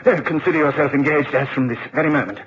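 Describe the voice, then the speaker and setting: An actor playing a secretive, commanding aristocrat with an upper-class English accent in a radio play, pompous and given to hesitating and repeating himself. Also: male voice, fairly high pitch, fast, natural voice. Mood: insistent, secretive. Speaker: supporting character in a radio play. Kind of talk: British radio drama acting. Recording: muffled, noisy old radio broadcast tape.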